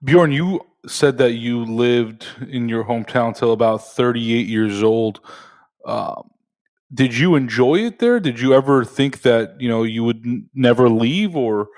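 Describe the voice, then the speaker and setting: A man's voice: mid-range voice, measured pace, darkish tone. A man hosting an interview podcast, asking friendly, conversational questions. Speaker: podcast host.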